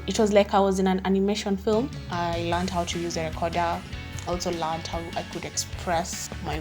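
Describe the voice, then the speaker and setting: A young woman with a Kenyan accent, a student actress, speaking in an interview. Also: fairly low pitch. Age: young adult.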